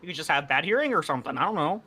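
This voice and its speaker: A man's voice: a little high, sing-song, very fast, bright, forceful tone, slightly rough, no echo. A man arguing bluntly in a casual group chat on a game stream, swearing as he goes.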